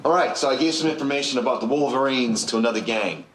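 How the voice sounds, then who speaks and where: An actor playing a cocky, corrupt cop with a menacing streak, taunting and swaggering in a screen drama. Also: male voice, deep voice, brisk, vocal fry, bright, forceful tone, slight background noise, exaggerated voice. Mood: defiant. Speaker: actor in a TV supernatural police drama. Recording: music under the dialogue.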